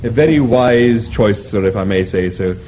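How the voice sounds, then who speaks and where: A man voicing a courteous male cow-like creature with a low, mellow lowing voice and an unctuous, polite waiter manner. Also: moderate pace, a little hiss or hum, lightly acted.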